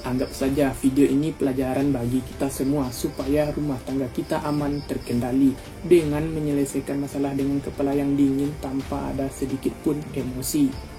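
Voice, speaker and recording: A man's voice: mid-range voice, mellow, poor audio. A young Indonesian man from Indonesia narrating a true crime story in casual slang, talking straight to his viewers. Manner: slangy, addresses viewers directly.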